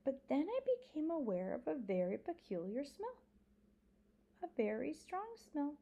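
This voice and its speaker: A woman's voice: sits high, big pitch swings, unhurried, gravelly, mellow, highs cut off, voice pushed up, full character voice. A woman voicing an elderly lady librarian in a storybook, proud and dramatic, drawing out key words with folksy emphasis. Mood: suspenseful. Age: older.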